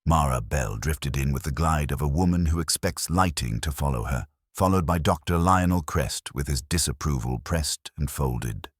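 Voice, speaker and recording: Very deep-voiced male narrator. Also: normal speed, hardly any pauses, slightly rough, no room sound, studio quality. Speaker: audiobook narrator. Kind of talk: scripted detective story narration.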